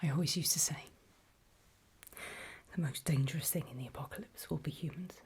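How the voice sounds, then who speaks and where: A woman playing a grave, weary apocalypse survivor in a horror ASMR roleplay, speaking in a soft, breathy, hesitant whisper. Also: low voice, fairly quick. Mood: weary, ominous. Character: grave, weary female apocalypse survivor briefing others on zombies.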